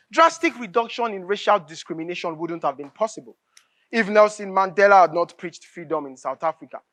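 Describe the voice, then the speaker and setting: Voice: medium pitch, fairly bright tone, natural voice. A stage actor playing a fervent, impatient revolutionary with a Nigerian accent, speaking in emphatic, oratorical dialogue and repeating phrases for force. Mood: impassioned. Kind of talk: live stage drama acting, declamatory dialogue.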